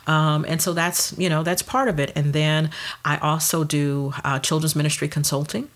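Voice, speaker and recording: A woman's voice: sits low, flat delivery, moderate pace. A woman in her fifties from Detroit, a pastor and children's minister, chatting casually as an interview guest with lots of ums. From Detroit, USA. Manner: chatty, warm storytelling with many ums.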